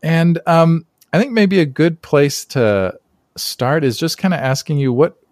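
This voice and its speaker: A man's voice: on the high side, a little slow, darkish tone, dry recording, clean studio sound. A man hosting a podcast interview, chatty and friendly, with frequent fillers. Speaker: podcast host. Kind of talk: casual interview chat.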